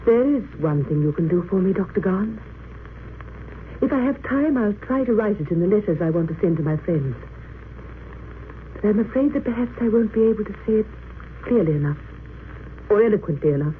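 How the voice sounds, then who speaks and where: A woman's voice: mid-range voice, lively intonation, brisk, long pauses, lightly acted. A condemned woman prisoner, played by an actress, composed and resolute, speaks in earnest, oratorical phrases. It is old-time radio drama acting, in a thin narrow-band recording with faint noise. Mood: calm, grateful. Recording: muffled, noisy vintage radio recording.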